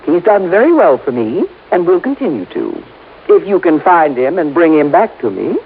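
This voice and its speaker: An actress playing a haughty, domineering wealthy wife with imperious indignation in a melodramatic old radio drama. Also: female voice, lowish voice, big pitch swings, moderate pace. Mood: indignant. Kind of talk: melodramatic 1950s radio acting. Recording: muffled, faintly hissy vintage radio.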